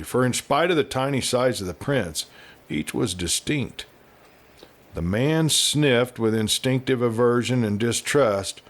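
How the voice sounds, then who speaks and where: A man narrating a scripted western story as an audiobook reader, with deliberate, expressive phrasing. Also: medium pitch.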